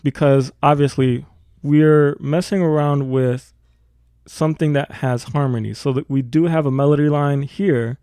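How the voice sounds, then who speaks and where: Mellow, gravelly man giving an audio tutorial. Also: medium pitch, no room sound, clean studio sound. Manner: explanatory, thinking aloud while working. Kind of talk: informal software tutorial narration.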